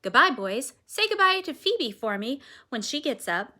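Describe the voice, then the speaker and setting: A woman voicing a brisk, cheerful father in a read-aloud storybook. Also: high-pitched, big pitch swings, pushed and bright, voice pushed up, full character voice.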